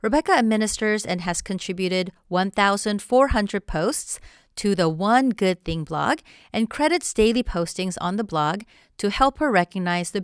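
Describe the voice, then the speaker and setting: A woman's voice: medium pitch, clear, resonant tone. Upbeat woman hosting a podcast. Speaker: podcast host. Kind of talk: scripted podcast intro then casual interview. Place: theater venue with crew setting up.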